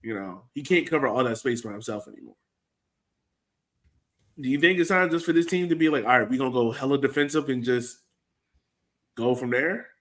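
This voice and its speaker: A man's voice: mid-range voice, brisk, long pauses, fairly bright tone. Male sports podcast host, American, mid-range voice, conversational and colloquial delivery. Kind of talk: casual sports discussion.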